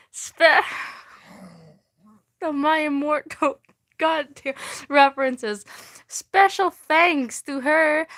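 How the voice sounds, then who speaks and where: Bright-voiced young woman, slow and self-mocking. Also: high-pitched. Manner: self-mocking, swears, laughs often, breaks off mid-sentence. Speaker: streamer reading her old fan fiction. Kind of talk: casual livestream reading with asides.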